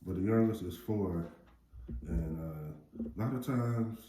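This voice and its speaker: A middle-aged American man with a deep voice, chatting casually in a rambling, plain-spoken way as a parent giving advice. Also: slow pace, warm tone. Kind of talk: casual chat. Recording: roomy echo, faint background noise.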